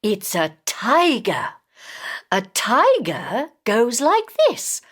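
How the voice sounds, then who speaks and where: A woman reading a children's storybook aloud in a slow, exaggerated, simple teaching style. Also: on the high side, wildly swooping pitch, a bit bright. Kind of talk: read-aloud storybook narration.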